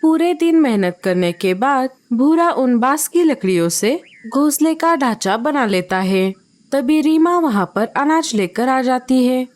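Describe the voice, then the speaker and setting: A woman narrating a children's cartoon story in a plain, even storytelling manner. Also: high-pitched, unhurried.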